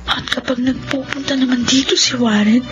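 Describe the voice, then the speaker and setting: Babaeng gumaganap na nagseselos na dalaga, medyo mataas ang boses. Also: female voice, sits high, steady tone, normal speed, close to an everyday voice. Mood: jealous, brooding. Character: jealous, brooding young woman. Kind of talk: Filipino radio drama monologue. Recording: dull lo-fi under clear music.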